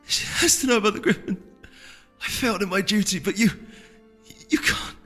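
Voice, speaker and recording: A man voicing a mortally wounded female unicorn messenger in a storybook reading, halting and urgent with a strained, breathy, choked voice. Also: high-pitched, sharp tone, in a large hall, soft background music, voice pushed up, full character voice. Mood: dying, pleading. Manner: halting, gasping, pleading.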